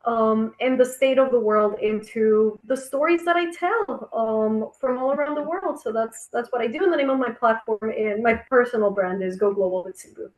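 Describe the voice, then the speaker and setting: A woman from Costa Rica joins a casual chat over a video call, with a fairly high voice that rings in an echoey room. She speaks reflectively and often circles back to rephrase what she means. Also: normal speed, hardly any pauses. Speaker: co-founder of a travel company, guest.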